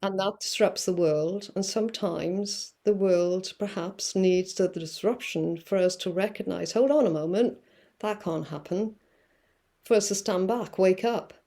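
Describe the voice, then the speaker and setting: A woman guest musing through spiritual ideas, with many fillers and pauses. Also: mid-range voice, mellow. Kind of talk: reflective spiritual talk.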